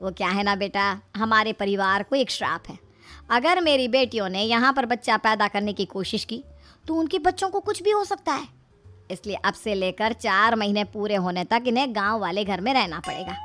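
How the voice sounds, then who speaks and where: A woman's voice: mid-range voice, very animated, normal speed, a bit bright, faint music behind. A woman voicing a scheming, fretful middle-aged mother-in-law with pleading, emphatic delivery in a comic story. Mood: firm coaxing.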